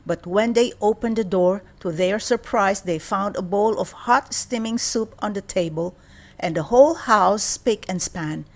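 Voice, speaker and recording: Female storyteller for children's read-aloud: mid-pitched, measured, expressive scripted narration.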